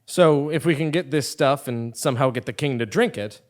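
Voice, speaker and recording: A man's voice: mid-range voice, normal speed, lightly acted. A man playing an exasperated, defiant commoner who leads a scheme, emphatic and incredulous, in a comic stage audio play. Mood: scheming. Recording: live theatre stage recording.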